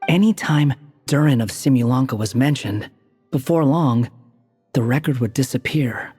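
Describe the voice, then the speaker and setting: A man voicing an aloof, sharp-tongued young wanderer in a video game, dry and curt. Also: medium pitch, normal speed, slightly rough, natural voice. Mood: serious. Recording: echoey game audio with music.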